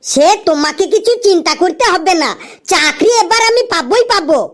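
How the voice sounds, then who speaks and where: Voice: extremely high pitch, expressive, moderate pace, a bit bright. A performer voicing a lazy, dim-witted and cocky young son in a comedy cartoon, whining and boasting. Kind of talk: Bengali comedy cartoon dialogue.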